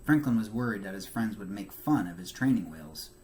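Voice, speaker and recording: A man narrates a children's storybook in a very lively, swinging intonation. His phrasing is measured and emphatic, like a teacher reading to young children. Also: lowish voice, a little hiss or hum.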